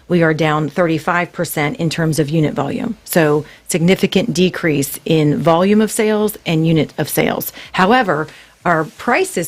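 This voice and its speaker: Low-voiced woman explaining calmly. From Gainesville, Florida. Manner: measured, explanatory, frequent ums. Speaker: real estate broker. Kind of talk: radio talk show guest. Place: radio studio.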